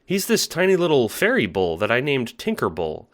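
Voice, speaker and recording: A man's voice: mid-range voice, wildly swooping pitch, normal speed. A man playing a meek, earnest young male troll who talks with a hesitant, apologetic stammer in an audio drama monologue. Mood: earnest, nervous. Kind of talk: audio drama monologue, recounting adventures as a log.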